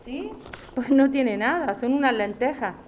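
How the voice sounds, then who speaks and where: A woman's voice: high voice, animated, measured pace, a little mellow, highs cut off, slight background noise. A woman from playing a caring, practical neighbour in an audio drama, direct, informal and protective. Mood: friendly.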